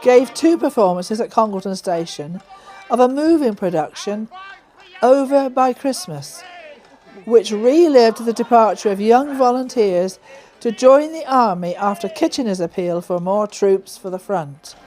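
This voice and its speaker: A woman's voice: on the high side, lively intonation, a little slow, warm tone, soft background music. A woman reading scripted narration for a local documentary.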